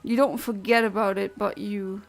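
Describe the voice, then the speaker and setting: Gravelly woman. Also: medium pitch, fairly even intonation, moderate pace, faint music behind, poor audio. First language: Luxembourgish. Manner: blunt, literal and chatty, with many restarts. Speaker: streamer. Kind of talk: casual chat answering a livestream chat.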